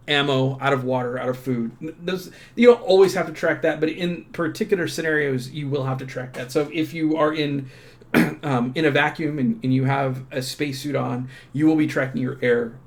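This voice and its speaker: A man with a creaky, gravelly voice giving a casual product walkthrough in a halting, self-interrupting way. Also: a little high. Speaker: unboxing presenter.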